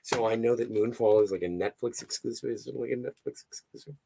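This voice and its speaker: A hoarse man hosting a casual livestream, rambling and easily sidetracked as he chats and reads out viewer comments. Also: medium pitch, fast, dark tone, slight background noise, rough recording. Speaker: livestream host.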